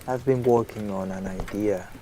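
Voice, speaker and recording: A man plays a middle-aged writer in a radio drama, genial and a little self-absorbed. His voice sits low, and he talks in a chatty, sociable way with faint noise behind him. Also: fairly even intonation, moderate pace, natural voice. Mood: chatty, musing. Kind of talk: audio drama acting.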